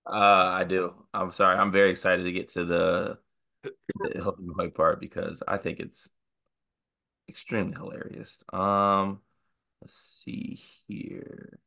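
A man's voice: low-pitched, level tone, measured pace, creaky, pushed and bright. A man co-hosting a casual sports podcast, stuffed up with the sniffles, sounding nasal. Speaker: podcast co-host.

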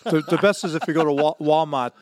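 A man's voice: fairly high pitch, fast, no room sound, studio quality. A man co-hosting a podcast with casual, crude banter and short profane interjections. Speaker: podcast co-host.

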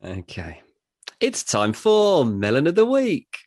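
A man's voice: a little high, wildly swooping pitch, takes it slowly, a little mellow, dry recording. A man co-hosting a casual football podcast, with dry, self-deprecating humour and frequent fillers. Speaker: podcast co-host.

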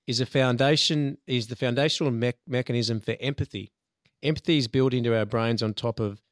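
Podcast co-host, smooth clear voice, earnest, halting, dry sound. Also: male voice, medium pitch, no room sound. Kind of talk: casual podcast chat.

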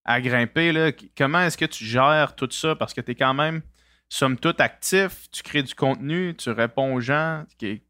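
A man's voice: medium pitch, a little slow, sharp tone, dry recording, studio quality. A man with a Québécois accent hosting a podcast, asking questions in a hesitant way, with lots of fillers and restarts. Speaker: podcast host. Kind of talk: casual interview.

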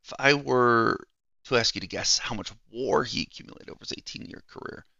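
A man's voice: mid-range voice, brisk, gravelly, bright, forceful tone, dry recording, lo-fi sound. A man hosting a solo podcast while he has a head cold, so he sounds a little nasal and froggy, talking conversationally and animatedly with some restarts. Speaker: podcast host.

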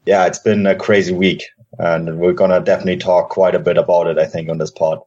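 A man's voice: low-pitched, flat delivery, darkish tone, slightly rough. A man, a podcast guest explaining at length with fillers. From Germany. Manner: fast explanatory talk with fillers. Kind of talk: casual podcast chat. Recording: dull lo-fi audio.

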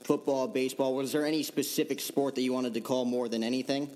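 A man's voice: medium pitch, level tone, fast talker, a little mellow. A man hosting a casual podcast interview and asking questions.

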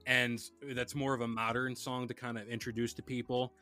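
A man's voice: medium pitch, little pitch movement, brisk, sharp tone, soft background music. A man hosting a casual podcast, chatting in a laid-back, rambling way and reading sponsor plugs. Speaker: podcast host.

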